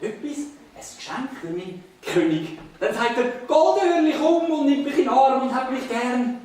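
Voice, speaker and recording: A woman plays a sweet forest wanderer in a children's stage show. The delivery is high and singsong, addressed to young listeners, and reaches us from afar in a reverberant room. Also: lively intonation, normal speed, a little mellow. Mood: dreamy delight. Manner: wide-eyed, singsong, talks to child audience. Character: sweet naive royal treasure-seeker wandering the forest. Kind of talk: children's musical theatre acting for families. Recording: distant echoey live hall, audience noise.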